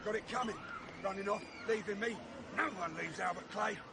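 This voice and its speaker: Nasty funfair bloke, highish voice, rough talk, taunting, old radio drama. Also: male voice, moderate pace, background noise. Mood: bitter, cold. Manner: taunting, rough colloquial speech. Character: menacing, vicious-tempered fairground ride operator, bitter husband. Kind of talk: vintage radio play acting.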